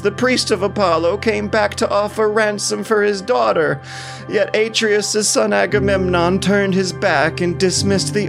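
A man's voice: high voice, voice pushed up. A man voicing a proud young warrior hero, aggrieved and pleading, in impassioned, declamatory audio drama style. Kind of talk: audio drama acting of epic poetry. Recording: music behind the voice.

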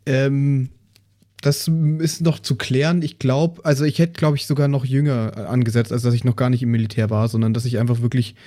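Mellow-voiced man thinking aloud. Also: mid-range voice, flat delivery, fairly quick. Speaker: tabletop role-playing player on a podcast. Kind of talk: casual discussion of character ideas.

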